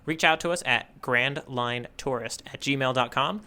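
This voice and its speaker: A man's voice: medium pitch, a little slow, sharp tone. A man co-hosting a casual manga readalong podcast, chatting with playful, teasing banter. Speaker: podcast co-host.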